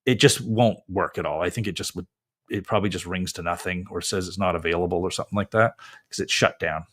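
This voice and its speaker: A man's voice: deep voice, steady tone, quick pace, fairly bright tone. A man hosting a live call-in show as a lawyer, giving advice in a casual, conversational way. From Canada. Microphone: close mic, wearing headphones.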